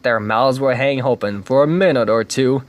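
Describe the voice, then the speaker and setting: A man reading aloud and voicing a sly, scheming, grumpy male creature who hates Christmas, in a low, hushed, oily manner. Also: normal speed, natural voice. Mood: gloating.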